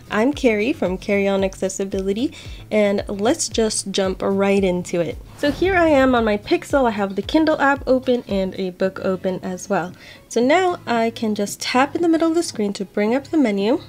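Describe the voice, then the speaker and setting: A woman's voice: on the high side, darkish tone. Young woman giving a casual tutorial. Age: young adult. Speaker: host of accessibility tutorial videos. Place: small home office, indoors.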